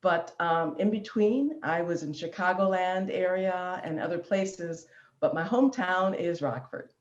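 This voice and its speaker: A woman's voice: lowish voice, measured pace. A woman in her fifties from Illinois, a guest in an online interview, talking in a chatty way with easy laughs and ums. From Illinois, USA. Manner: warm chatty, laughing easily, filler ums. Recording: roomy, dull lo-fi sound.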